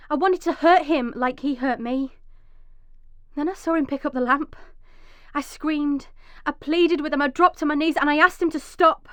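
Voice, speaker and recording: An actress playing a frightened, injured young mother in a radio drama, emotional and swinging from hushed dread to pleading. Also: high-pitched, dry recording. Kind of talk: radio drama monologue with acted dialogue.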